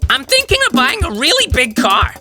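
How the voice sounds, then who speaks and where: Woman doing a high-pitched, gushing lady shopper. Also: huge pitch swings, moderate pace, loud music behind the voice. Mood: dithering. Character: gushing, self-important female shopper, gullible and greedy.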